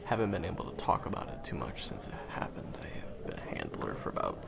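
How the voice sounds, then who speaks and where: A man playing a gentle, humble ex-hunter turned guide in an audio roleplay, speaking hesitantly and softly with frequent pauses. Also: a bass voice, wildly swooping pitch, vocal fry, a crowd in the background, full character voice. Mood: shy, sincere. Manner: hesitant, soft-spoken, halting with pauses. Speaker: monster hunter's handler in a fan audio roleplay. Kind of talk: intimate conversational audio roleplay acting.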